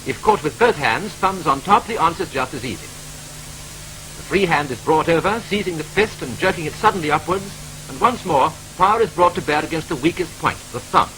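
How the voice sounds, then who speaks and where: A man with a British accent narrating a 1940s training film in a brisk, clipped, wryly matter-of-fact manner, from an old film soundtrack. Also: on the high side, expressive, fairly bright tone, a little hiss or hum, poor audio.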